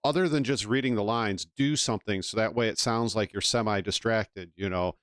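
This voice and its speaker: A man's voice: on the low side, a little fast, fairly bright tone, no echo, studio quality. A man giving casual, rambling live commentary as a streamer reacting to a video.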